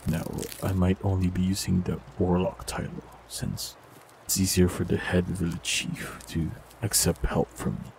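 A man performing a scripted fantasy audio roleplay, speaking calmly and gently with soft teasing, close to the mic. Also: low-pitched, normal speed, creaky, slight background noise, low-quality recording. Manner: calm, gentle, softly teasing.

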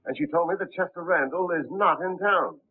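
Gruff police captain, tinny old film. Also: male voice, fairly high pitch, a little fast. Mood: suspicious, blunt. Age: middle-aged. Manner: blunt, clipped. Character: gruff, suspicious middle-aged police captain. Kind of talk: 1930s film acting. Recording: noisy, tinny 1930s film soundtrack.

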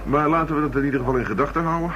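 An actor playing a steady, businesslike police detective in a radio drama, measured and matter-of-fact. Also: male voice, on the high side, lightly acted. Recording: muffled, noisy old radio recording.

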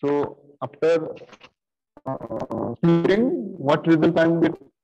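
A middle-aged man walks through code at a very slow, halting pace, filling gaps with "uh" and "okay". His voice sits fairly high for a man but sounds dark and soft. The sound is dull and lo-fi, as from a laptop on a video call. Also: animated, faint music behind. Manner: slow, halting, many fillers and repeated "okay". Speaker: programming teacher. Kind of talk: screen-share coding lesson. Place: video call with screen share.

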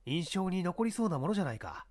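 A man voicing a shrewd, authoritative police inspector who gives brisk orders in a mystery audiobook. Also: sits high, sing-song, a little mellow. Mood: probing. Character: shrewd, commanding middle-aged male police inspector. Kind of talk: dramatized mystery audiobook reading.

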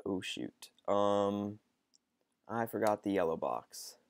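A man's voice: fairly low pitch, takes it slowly, gravelly, no echo. A young man with a cold, sounding sniffly, chatting hesitantly with lots of ums while playing a game. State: stuffy with a cold. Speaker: gaming channel host. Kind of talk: casual let's-play commentary.

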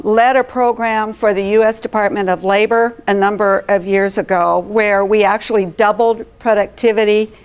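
Big-voiced woman presenting, slow and smooth. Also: mid-range voice. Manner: engaging, asks the audience questions and checks in. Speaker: presenter at a professional chapter meeting. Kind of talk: live presentation to an audience, asking questions. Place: meeting room, speaking without a mic.

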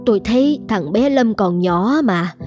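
A woman's voice: mid-range voice, expressive, unhurried, music in the background, close to an everyday voice. A woman playing a doting, humble elderly housekeeper in a cartoon, with a coaxing manner. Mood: humble, pleading. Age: older. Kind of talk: scripted cartoon dialogue.

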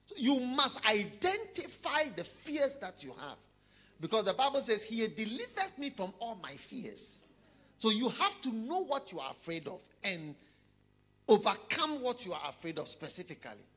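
Emphatic preacher man, high and lively. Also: normal speed, husky. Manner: emphatic, repeats phrases, swings between hushed and loud. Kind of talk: sermon. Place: large hall with audience. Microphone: handheld microphone.

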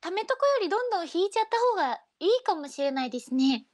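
A woman with a strikingly high-pitched voice chats as a radio co-host. She is casual yet polite, given to expressive exclamations. The sound is dry and close. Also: fast talker, no echo.

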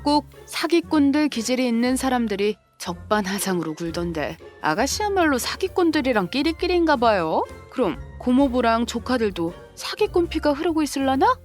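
A woman's voice: sits high, big pitch swings, moderate pace, few pauses, soft background music. A woman playing a composed, sarcastic sister-in-law and protective mother in a scripted audio drama.

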